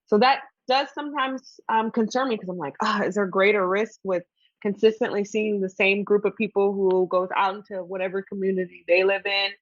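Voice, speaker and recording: A woman who works in pediatric dialysis, talking thoughtfully with frequent "um" pauses. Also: mid-range voice, steady tone, dry recording, lo-fi sound. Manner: thoughtful, measured, with frequent "um" hesitations. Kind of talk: casual conversation on a podcast.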